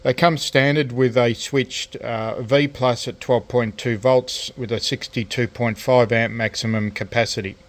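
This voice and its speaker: A man giving a casual, unscripted hands-on tutorial as a hobbyist, speaking hesitantly with frequent pauses. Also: medium pitch, steady tone. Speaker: hobbyist presenter of a DIY electronics video. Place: indoors at a cluttered radio workbench.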